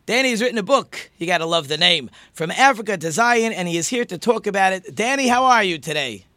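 Male rabbi host, chatty, sharp bright tone, dead dry recording. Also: high-pitched, expressive, fairly quick, no room sound. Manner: friendly, chatty and direct. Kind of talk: podcast interview hosting.

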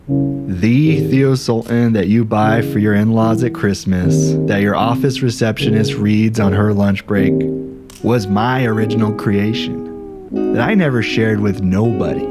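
An actor playing an aggrieved, self-dramatizing ghostwriter in a conversational, wry, indignant audio drama monologue. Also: male voice, lowish voice. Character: aggrieved, self-dramatizing male ghostwriter telling his grievances. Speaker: narrator and protagonist.

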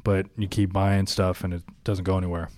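Young man, low voice, dry close recording, hesitant podcast co-host. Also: little pitch movement, a little fast, a little mellow, no echo. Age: young adult. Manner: hesitant, lots of uh and um. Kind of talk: casual chat.

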